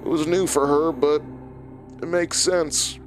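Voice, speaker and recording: A man's voice: a little high, steady tone, measured pace, soft background music, natural voice. A man playing a smug, taunting old enemy in a horror audio drama, clipped, mocking and menacingly casual.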